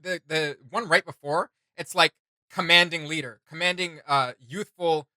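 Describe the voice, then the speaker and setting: Bright, high-voiced enthusiastic young man. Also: normal speed, no room sound, clean studio sound. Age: young adult. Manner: animated, rambling, slangy. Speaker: reaction video host. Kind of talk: casual enthusiastic reaction chat. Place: small indoor room with desk and shelves.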